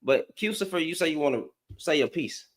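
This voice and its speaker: A man's voice: on the high side, animated, a little fast. A man from Houston with a Southern accent, hosting a live panel discussion in a rambling, slangy way with many restarts. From Houston, Texas. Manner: rambling, repeats words, slangy.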